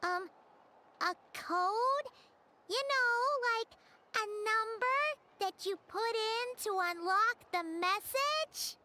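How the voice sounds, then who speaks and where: A woman voicing a small, excitable, childlike fairy companion in a video game. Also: very high-pitched, slow pace, very noisy, full character voice. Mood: curious, cheeky. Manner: exclamatory, refers to self in third person.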